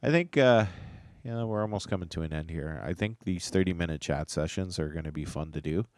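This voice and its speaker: A man's voice: low voice, fairly quick, darkish tone, no echo, clean studio sound. A man hosting a casual solo livestream chat, sounding stuffed-up and a little nasal, rambling and chuckling as he loses his train of thought. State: stuffed-up nose. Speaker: livestream host.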